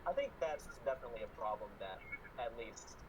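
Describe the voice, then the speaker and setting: A man's voice: lowish voice, big pitch swings, brisk, noisy background. A man hosting a podcast discussion, talking earnestly with many fillers. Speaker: podcast host. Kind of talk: casual discussion.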